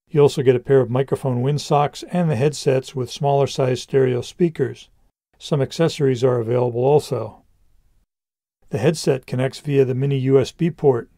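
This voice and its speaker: A man narrates a scripted product review in a soft, dark-toned voice. The recording is dry and close, with no room sound. He speaks as a presenter explaining gear. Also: medium pitch, no room sound.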